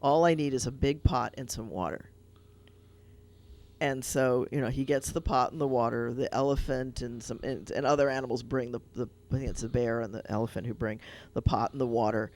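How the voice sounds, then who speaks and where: A man with a gravelly, creaky voice of middle pitch hosts a radio talk show as a rabbi. He tells a children's fable with lively, animated delivery and chats casually with his guests. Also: fairly quick. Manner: animated storyteller, retelling a fable. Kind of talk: casual radio conversation and storytelling.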